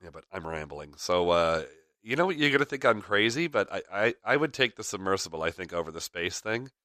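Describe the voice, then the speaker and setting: Deep, bright-toned man, slightly raspy. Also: sing-song, fairly quick, dry recording, studio quality. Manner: rambling, frequent fillers, chuckles. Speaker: podcast host. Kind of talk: casual rambling chat.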